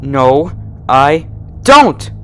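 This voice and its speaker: A man voicing a frightened, angry young female pony wizard in a horror story reading, yelling and pleading. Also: fairly high pitch, very animated, very slow, a little hiss or hum, pitched above the performer's own voice, full character voice. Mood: desperate. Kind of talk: horror fan fiction reading.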